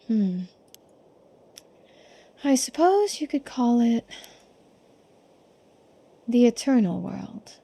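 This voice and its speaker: A woman voicing a genteel, composed and secretive housewife in a video game, speaking politely, formally and with quiet persuasion. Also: a little high, sing-song, extremely slow, creaky, long pauses, slightly rough, heavily acted. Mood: mysterious. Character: genteel, composed, secretive housewife who knows occult lore. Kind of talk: video game dialogue read aloud.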